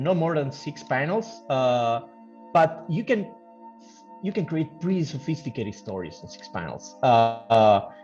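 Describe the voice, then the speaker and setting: A man who works as a game developer gives casual interview answers, thinking aloud haltingly and repeating phrases. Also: on the high side, darkish tone. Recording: dull lo-fi audio, music behind. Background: background music.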